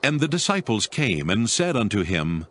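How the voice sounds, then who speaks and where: Lower-pitched, expressive male scripture narrator. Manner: measured, formal.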